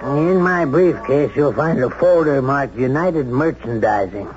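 A man's voice: mid-range voice, unhurried, darkish tone, close to an everyday voice. A man acting a brash, domineering businessman in a 1970s radio drama, commanding, teasing and cynical. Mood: brisk. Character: brash, domineering high-stakes businessman, sardonic boss. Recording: muffled 1970s radio broadcast, some hiss.

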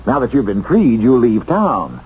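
An actor playing a haughty, evasive, cold bank executive in a 1970s radio drama, curt and pompous. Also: male voice, medium pitch, sing-song, measured pace, a little mellow. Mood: cold, dismissive. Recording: dull, noisy 1970s radio recording.

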